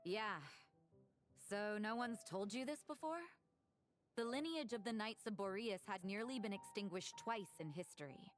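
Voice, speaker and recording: An actress playing a cool, worldly, sardonic nun in a video game, composed and knowing. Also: female voice, mid-range voice, normal speed, faint music behind, lightly acted. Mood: explaining. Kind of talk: video game character dialogue.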